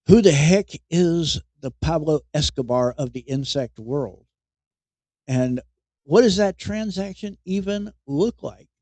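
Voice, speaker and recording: A man hosting a podcast, giving mocking news commentary in a halting way and chuckling at his own jokes. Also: fairly high pitch, animated, a little mellow, dry recording. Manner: halting, repeats words as he goes. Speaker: podcast host.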